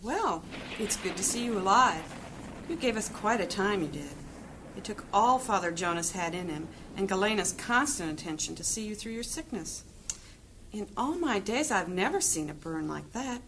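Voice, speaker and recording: Kindly village woman played by an actress: motherly, homely, medium pitch, fantasy radio play, faint background noise. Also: lively intonation, moderate pace, a bit bright, rough recording. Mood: relieved, fussing.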